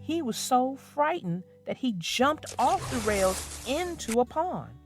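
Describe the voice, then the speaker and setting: A woman reads a children's story aloud, slow and careful, with a lively, swooping voice. Her pitch sits fairly high. Faint guitar music plays behind her. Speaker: storybook narrator.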